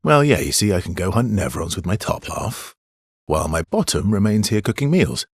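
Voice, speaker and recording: Tired gentle game hero dude, low n really raspy breathy voice, slow and understated. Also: male voice, very animated, no room sound, clean studio sound, exaggerated voice. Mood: dry, wry. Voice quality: husky, breathy. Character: weary, gentle, guilt-burdened adult male adventurer. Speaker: video game voice actor. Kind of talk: video game dialogue lines.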